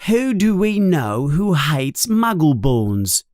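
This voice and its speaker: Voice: mid-range voice, big pitch swings, slow pace, darkish tone, no echo, studio quality, voice pushed up. A narrator voicing a jumpy, indignant schoolboy in a storybook, easily exasperated, with whiny, emphatic protests. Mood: frustrated. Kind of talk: storybook character voice.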